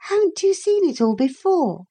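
A storybook little girl, as a woman narrator plays her, pitched high and full of earnest insistence. She speaks in proper, old-fashioned phrases with childlike emphasis, persuading stubbornly and getting excitable. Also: normal speed, exaggerated voice. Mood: insistent.

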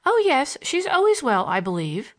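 A woman's voice: high-pitched, wildly swooping pitch, moderate pace, voice pushed up. A woman voicing a playful, cheeky teenage schoolgirl with romantic notions, chattering in a teasing, girlish way for an audiobook. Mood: breezy, amused.

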